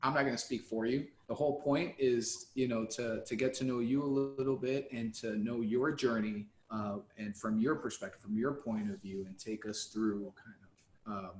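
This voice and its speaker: A man's voice: medium pitch, steady tone, moderate pace, darkish tone. A man co-hosting an interview, praising his guest effusively and leaning on fillers. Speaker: podcast co-host. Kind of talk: casual interview. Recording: echoey room.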